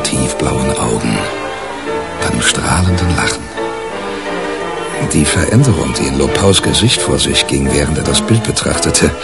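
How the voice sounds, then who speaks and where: Very deep-voiced wry male reporter. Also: gravelly, exaggerated voice. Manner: wry, detached, detailed storytelling. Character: wry, businesslike male tabloid reporter telling his story. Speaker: narrator and lead character, a newspaper reporter. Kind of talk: radio crime drama narration and dialogue.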